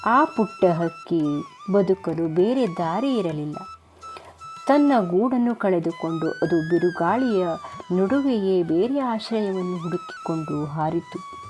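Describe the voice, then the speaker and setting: A woman storyteller narrates a moral tale for children. Her delivery is very unhurried and her tone is dark and mellow. Music plays clearly behind her. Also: lowish voice, huge pitch swings.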